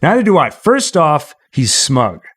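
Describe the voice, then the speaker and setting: A man's voice: a little high, big pitch swings, a little slow, a bit bright, breathy edge. A man hosting a livestream, chatting casually and telling stories in a theatrical, dramatic manner. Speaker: livestream host. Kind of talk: casual storytelling and chat to livestream viewers.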